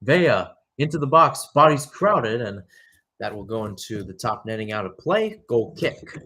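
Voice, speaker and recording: A young adult man delivers live soccer play-by-play in the style of a radio broadcast. His voice sits at a middling pitch, and he shifts between following the action and talking it over conversationally. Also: very animated, measured pace, pushed and bright. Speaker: play-by-play commentator.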